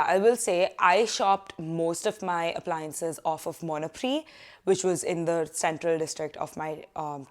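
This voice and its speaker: Chatty young woman giving student advice. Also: on the low side. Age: young adult. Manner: chatty, animated hand gestures, quick asides. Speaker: student vlogger. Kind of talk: casual advice vlog. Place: living room sofa, indoors.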